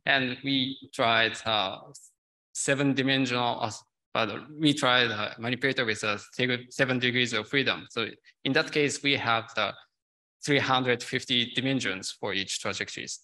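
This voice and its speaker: A man's voice: mid-range voice, fairly even intonation, normal speed, bright, forceful tone, low-quality recording. A man in his thirties answering technical questions as a conference speaker, hesitant, with non-native English phrasing. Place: large lecture theatre, at a podium. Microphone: podium gooseneck microphone.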